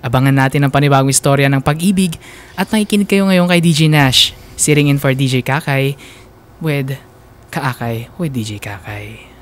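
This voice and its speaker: A man's voice: mid-range voice, normal speed. A Filipino man hosting a radio show, delivering a scripted introduction to a story. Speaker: radio DJ sitting in as host and story narrator.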